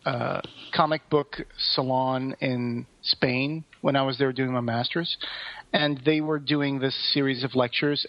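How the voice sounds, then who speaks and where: A man co-hosting a podcast in casual, enthusiastic chat, telling things in an anecdotal way. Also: mid-range voice. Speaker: podcast co-host. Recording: dull, roomy recording.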